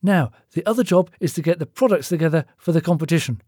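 A man's voice: a little high, animated, quick pace, warm tone, slightly rough, no echo, studio quality. A man voicing a brash, bossy male office boss in an audiobook story, blunt and matey as he gives orders. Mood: brisk, instructing.

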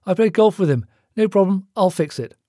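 A blunt, matey office boss played by a male narrator in an audiobook story. He is bossy and brash, handing out orders in a raised pitch, with a subdued tone and a very dry, close sound. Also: expressive, a little fast, mellow, dry recording.

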